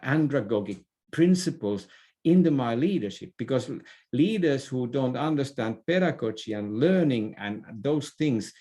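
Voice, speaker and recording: A middle-aged man from Finland with a Finnish accent, speaking as an opinionated guest in a podcast interview, halting and repeating words as he makes his points. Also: mid-range voice, lively intonation, mellow. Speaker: interview guest, author and business thinker.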